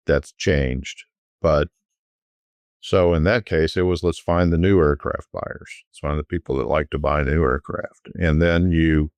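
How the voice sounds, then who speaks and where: A middle-aged man, a guest recalling his years selling private jets, talking in a relaxed, rambling way with frequent restarts. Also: very deep voice, dry recording. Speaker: guest, former private jet sales executive. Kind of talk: conversational storytelling.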